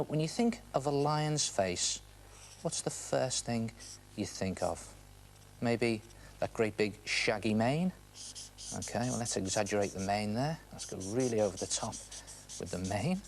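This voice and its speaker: A British man hosts a children's drawing lesson with a very lively, swooping delivery. His pitch sits at an average level, and he chats playfully to the viewer, posing questions as he goes. There is some noise in the recording. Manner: chatty, asks the viewer questions and answers them; animated, playful emphasis. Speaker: presenter of a children's art TV show. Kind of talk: step-by-step drawing demonstration for children.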